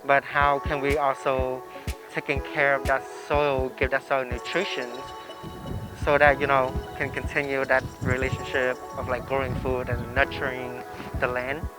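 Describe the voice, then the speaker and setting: Male interviewee, medium pitch, halting casual delivery with frequent check-ins, clear music behind. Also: little pitch movement, rough recording. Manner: halting, peppers talk with "like" and "right?".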